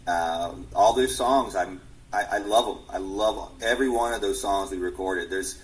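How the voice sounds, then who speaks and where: Musician guest, male, forties: earnest, low-pitched, restarts his sentences a lot. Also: poor audio. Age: 40s. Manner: earnest, halting with many restarts. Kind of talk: casual interview answers.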